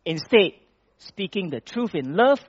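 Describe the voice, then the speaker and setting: A man's voice: sits high, big pitch swings, a little slow, no room sound, lo-fi sound. Man from Malaysia preaching a sermon. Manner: reads scripture with rising emphasis, then talks plainly with restarts.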